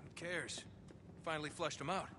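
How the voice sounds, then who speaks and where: A man's voice: steady tone, moderate pace, natural voice. An actor playing a reckless, wisecracking American treasure hunter in his thirties, glib and teasing, in a video game cutscene. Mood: teasing, breezy. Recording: loud rumbling noise behind dialogue.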